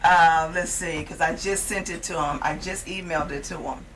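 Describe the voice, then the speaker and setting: A woman hosting a talk show, chatting casually and gushing with animated praise. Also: on the low side, normal speed, a little hiss or hum, rough recording. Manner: animated, effusive, exclaiming praise. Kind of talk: casual chat.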